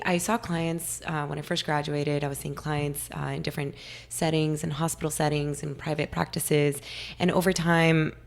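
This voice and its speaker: A young woman from California, slightly hoarse, talking eagerly as a podcast guest. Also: deep voice. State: a little hoarse. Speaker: guest nutritionist. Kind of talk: casual interview talk.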